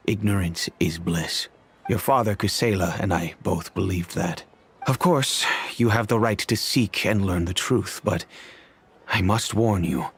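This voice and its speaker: A man's voice: on the low side, slightly rough. A man playing a dignified middle-aged father and family head in a video game, speaking formally and solemnly. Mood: grave warning. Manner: formal, grave, measured. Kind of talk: video game character acting. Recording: music behind the voice.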